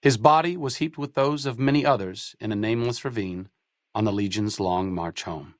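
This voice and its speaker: A man narrating video game epilogues in a measured, solemn storytelling manner. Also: lowish voice, no echo, clean studio sound, close to an everyday voice. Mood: somber, measured. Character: somber male narrator telling a companion's fate.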